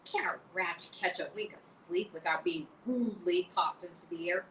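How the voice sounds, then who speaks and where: A woman's voice: fairly low pitch, normal speed, a bit bright, close to an everyday voice. A woman voicing a lazy, self-pitying male rat who grumbles and mocks sarcastically, read as an audiobook. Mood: sarcastic. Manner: sarcastic, mocking, growling. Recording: roomy, distant mic, faint hiss.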